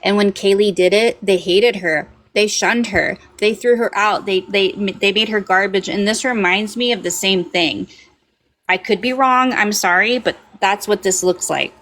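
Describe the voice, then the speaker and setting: A woman with a mid-pitched voice talks earnestly as a livestream guest. She hesitates, restarts and repeats words as she reasons things out. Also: a little slow, a bit bright. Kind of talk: casual livestream talk.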